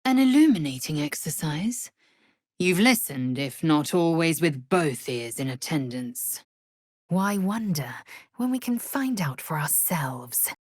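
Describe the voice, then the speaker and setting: An actress voicing a fierce, proud alien warrior woman in a video game, husky and clipped, with a haughty formal delivery. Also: low voice, lively intonation, measured pace, darkish tone, no echo, studio quality. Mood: haughty, measured. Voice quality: husky, breathy rasp. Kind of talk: video game character acting.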